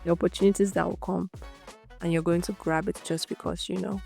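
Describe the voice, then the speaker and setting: A young woman with a Nigerian Igbo accent hosting a solo podcast, thinking out loud in an unscripted, chatty way and laughing often. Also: fairly low pitch, level tone, a little fast, vocal fry, faint music behind. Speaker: podcast host.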